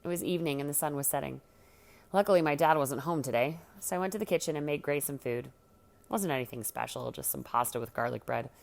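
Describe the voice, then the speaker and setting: A woman narrates a first-person teen story in a low register with noticeable vocal fry. Her reading is scripted and expressive, with a dramatic storyteller's delivery. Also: fast talker. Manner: dramatic, acts out the dialogue. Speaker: story reader.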